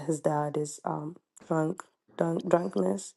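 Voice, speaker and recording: A woman from Ethiopia with an Ethiopian accent, calling in with a question and speaking haltingly, searching for words. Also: low voice, fairly even intonation, gravelly, mellow, slightly rough. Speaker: caller.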